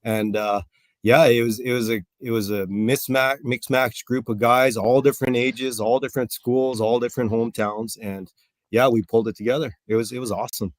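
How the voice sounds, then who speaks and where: A man in his forties, a coach as interview guest, talking in an upbeat, relaxed way with fillers. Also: medium pitch, moderate pace, no echo. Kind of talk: casual interview.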